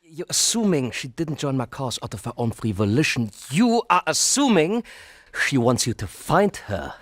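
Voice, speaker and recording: A man's voice: medium pitch, fairly bright tone. A condescending male villain from a game drama. His mid-range voice moves expressively, insistent and controlled, with faint music behind. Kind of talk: dramatic video game acting.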